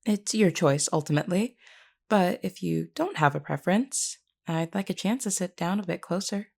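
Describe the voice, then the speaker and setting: A woman playing a gentle, courteous vampire queen in an intimate audio roleplay, speaking politely and reassuringly. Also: fairly low pitch, animated, normal speed, creaky. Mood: courteous, careful. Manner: polite, soft-spoken reassurance with hesitant asides.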